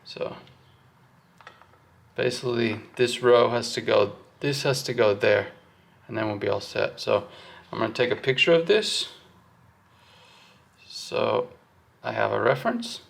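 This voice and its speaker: A man's voice: medium pitch, measured pace, creaky, pauses often. A man giving a casual hands-on walkthrough, thinking aloud informally as he works. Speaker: host of a hands-on wiring walkthrough. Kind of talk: casual workshop explanation while working.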